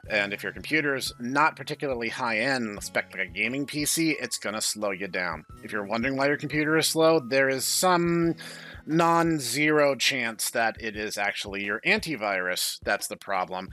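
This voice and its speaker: Bright-voiced man giving opinionated game commentary. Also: lowish voice, normal speed. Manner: opinionated, chatty, digressive. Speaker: let's-play host. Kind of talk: casual game commentary and asides. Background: music.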